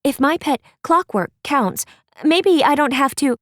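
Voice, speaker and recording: A woman's voice: high voice, expressive, a little slow, fairly bright tone, no room sound, studio quality, pitched above the performer's own voice. A woman doing a shrieking wooden girl. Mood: worried. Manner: frantic, shrieking. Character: panicky wooden teen girl, high and shrill.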